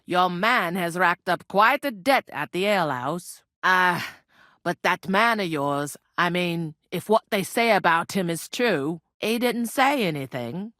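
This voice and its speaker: A woman's voice: lowish voice, lively intonation, measured pace, sharp tone, no echo, clean studio sound. Blunt medieval innkeeper woman. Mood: gossipy. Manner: blunt, earthy, colloquial scolding and gossip. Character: brusque, gossipy medieval village innkeeper woman, scolding and world-weary. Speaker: video game voice actor. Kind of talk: video game character dialogue.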